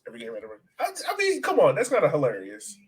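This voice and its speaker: A man co-hosting a soccer fan podcast, talking in a casual, excitable way. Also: high voice, rapid-fire. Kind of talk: casual sports podcast chat.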